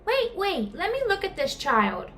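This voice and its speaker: A woman voicing a tender, reassuring mother bat in a children's storybook, with gentle emotion. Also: very high-pitched, wildly swooping pitch, unhurried, faint music behind, pitched above the performer's own voice, full character voice. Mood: anxious, searching. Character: long-lost mother bat, tender, reassuring adult female.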